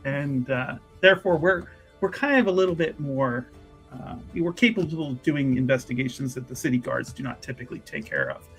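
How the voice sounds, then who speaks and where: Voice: mid-range voice, fairly quick, a bit bright, faint music behind, natural voice. A player voicing a shrewd church investigator in tabletop roleplay, speaking formally and wordily with hesitant, politely needling phrasing. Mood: politely probing. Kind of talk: improvised in-character tabletop roleplay.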